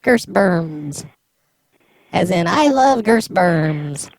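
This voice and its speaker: A woman's voice: fairly low pitch, lively intonation, very slow, darkish tone, exaggerated voice. A woman playing a flippant, dismissive city official on an improvised prank call, blunt and nonchalant.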